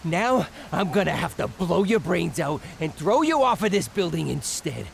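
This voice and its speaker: An actor playing a swaggering, taunting crime boss in a video game, theatrical and vicious. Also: male voice, on the high side, big pitch swings, normal speed, creaky, slight background noise, exaggerated voice. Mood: scornful rage. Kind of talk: video game villain acting.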